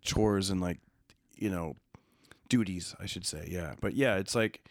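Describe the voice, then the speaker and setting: Easygoing joking man, mid-pitched voice. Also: measured pace, no echo, studio quality. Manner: easygoing, laughs often, jokes, many fillers. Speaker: podcast co-host. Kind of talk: casual chat.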